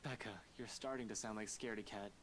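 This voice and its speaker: A man's voice: lowish voice, steady tone, fast talker, a little hiss or hum, close to an everyday voice. A man voicing a skeptical, dismissive young man in a horror visual novel, speaking curtly. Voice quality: curt, dismissive manner. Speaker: game character.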